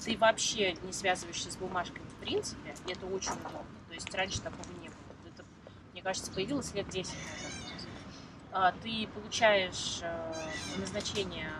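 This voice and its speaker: A woman from Moscow answers interview questions in a measured, explanatory manner. She is picked up far from the mic, and heavy street traffic roars behind her. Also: on the low side. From Moscow, Russia. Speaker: interview guest. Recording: distant mic with loud traffic noise.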